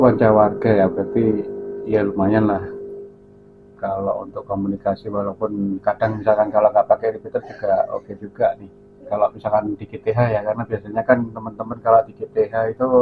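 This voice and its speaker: A man's voice: on the low side, little pitch movement, a little fast, phone-quality sound, music in the background. A man chatting casually as a ham radio operator over a repeater, with call signs and radio jargon.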